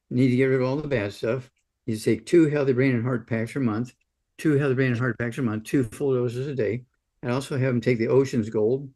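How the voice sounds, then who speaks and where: A man's voice: mid-range voice, darkish tone. A man in his eighties giving casual health advice on a call-in show, rambling and folksy, ending points with "okay?". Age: 80s. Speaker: supplement advocate doctor answering callers.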